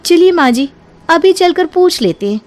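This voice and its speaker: A woman's voice: very high-pitched, animated, moderate pace, a little mellow, pitched above the performer's own voice. A woman voicing a clever young daughter-in-law in a cartoon moral story, sweetly persuasive and emphatic. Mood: bright, urging. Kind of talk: animated Hindi moral story dialogue. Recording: music behind the voice.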